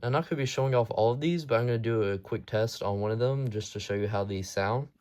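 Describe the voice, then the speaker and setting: A man's voice: mid-range voice, quick pace, a little mellow. A man presenting his hobby collection in a casual, unscripted show-and-tell, with a matter-of-fact, informal delivery.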